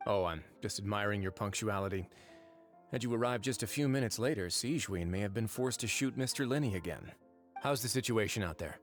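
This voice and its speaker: A man's voice: on the low side, lightly acted. A man playing a composed, drily witty warden in a video game, speaking politely and without hurry. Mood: relaxed, dry. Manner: unhurried, wry, polite. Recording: music under the voice.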